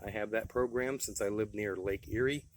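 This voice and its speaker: A man's voice: fairly low pitch, fairly even intonation, moderate pace, a little hiss or hum. An American man giving a casual, plain-spoken, folksy demonstration of his gear. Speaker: radio hobbyist presenting his gear.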